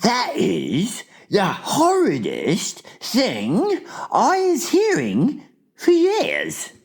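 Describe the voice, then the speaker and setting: A performer voicing a gentle, emotional giant in a children's audiobook, with muddled childlike grammar and exclamations. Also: medium pitch, huge pitch swings, extremely slow, breathy edge, pitched above the performer's own voice, full character voice. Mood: indignant, saddened.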